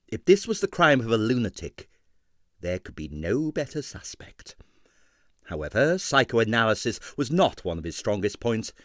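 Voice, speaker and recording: A man narrating a comic detective novel as an audiobook reader, in a measured, dramatic storytelling delivery with emphatic phrasing. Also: mid-range voice, no echo, studio quality.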